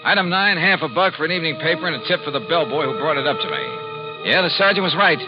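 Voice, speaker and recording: A man's voice: fairly high pitch, very animated, fast. An American man acting a wry, tough insurance investigator in a golden-age radio detective drama, with clipped delivery. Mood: dry narration. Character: hardboiled, wry male freelance insurance investigator. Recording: dull vintage radio recording, music behind.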